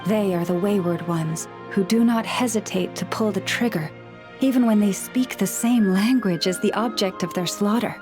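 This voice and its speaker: Game role: actress as wise, gentle friend of beasts, mid-range voice, unhurried and reflective. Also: female voice, mid-range voice, over music, lightly acted. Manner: calm, measured, softly reflective. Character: gentle woman who speaks with animals, wise and serene. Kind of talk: video game voice acting.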